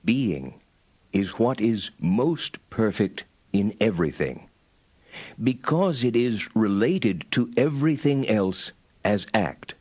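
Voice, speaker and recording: An actor playing a solemn medieval philosopher-theologian in an audiobook, reciting his doctrine in a measured, declamatory manner. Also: on the low side, sing-song, a little mellow, husky, no room sound, exaggerated voice. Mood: solemn, assured. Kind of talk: dramatized reading of philosophical writings.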